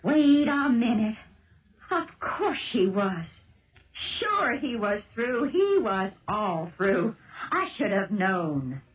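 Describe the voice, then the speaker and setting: A woman's voice: mid-range voice, very animated, slow. An actress playing a brassy, gossipy, streetwise woman with a blunt, slangy manner in a 1970s radio drama. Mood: excited realisation. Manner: blunt, slangy, know-it-all. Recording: muffled old radio broadcast recording.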